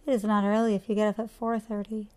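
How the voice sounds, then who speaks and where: A woman voicing a brisk, easily offended neighbor mother. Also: mid-range voice, flat delivery, mellow, close to an everyday voice.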